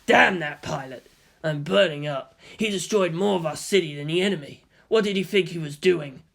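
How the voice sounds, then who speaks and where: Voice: mid-range voice, moderate pace, gravelly, a bit bright. A man voicing a brooding, resentful teenage schoolboy in an audiobook, with blunt, subdued grumbling. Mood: angry. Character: brooding, resentful tough schoolboy, worried older brother.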